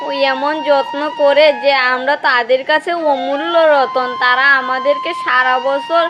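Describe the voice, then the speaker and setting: A woman's voice: high-pitched, steady tone, a little slow. A woman voicing a resigned grown farm cow who explains things like an elder brother in a cartoon. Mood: bitter. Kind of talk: cartoon dialogue. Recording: dull lo-fi track under loud music.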